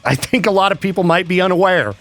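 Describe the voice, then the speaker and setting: A man hosting a radio show, chatting and joking in a lively, animated way. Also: sits high, fast, soft background music. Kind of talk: casual radio banter.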